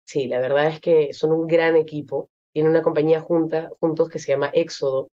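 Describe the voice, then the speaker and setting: A young Peruvian woman with a Peruvian Spanish accent, an actress being interviewed, speaking thoughtfully with many pauses and fillers. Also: low-pitched, fairly even intonation, mellow, lo-fi sound. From Peru. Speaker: actress guest. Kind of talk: interview conversation.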